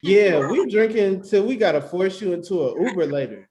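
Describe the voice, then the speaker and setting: A man's voice: sits high, lively intonation, moderate pace, darkish tone, a little hiss or hum. A man playing a cheeky wedding guest who pushes his friends to party, with casual slangy talk in a comedy table read. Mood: eager, goading.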